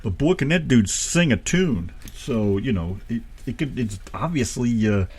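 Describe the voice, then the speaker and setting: American man, radio co-host, husky, swooping pitch, playful banter. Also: measured pace. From United States. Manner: animated, joking, swings high and low. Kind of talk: casual banter.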